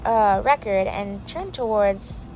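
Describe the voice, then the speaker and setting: A woman's voice: mid-range voice, animated, takes it slowly, darkish tone, slight background noise, rough recording. A woman reading a fan fiction story aloud, sometimes stumbling and correcting herself. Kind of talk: scripted story narration.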